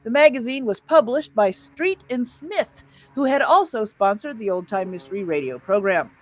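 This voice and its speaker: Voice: mid-range voice, background noise. A host casually presenting and reading aloud to a live audience, drawing out dramatic words with theatrical emphasis. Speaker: host of an old-time radio show stream.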